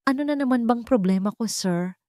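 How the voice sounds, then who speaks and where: A woman's voice: fairly high pitch, animated, fairly quick, dark tone, no room sound, studio quality, pitched above the performer's own voice, close to an everyday voice. A woman voicing a submissive, hurt young woman with an apologetic, pleading manner in an audio love story. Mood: tearful, hurt.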